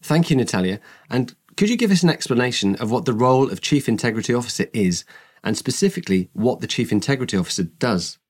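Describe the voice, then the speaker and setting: A man's voice: mid-range voice, animated, fast. A man hosting a corporate podcast, reading his introductions and questions in a polished, scripted way. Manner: polished, read-from-script delivery. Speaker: podcast host.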